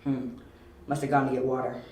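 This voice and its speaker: A man's voice: mid-range voice, flat delivery, brisk, darkish tone, recorded from a distance, close to an everyday voice. A man acting a resourceful, wry young detective in an amateur audio drama, speaking tersely. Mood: puzzled, urgent. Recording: echoey room, off-mic, faint noise.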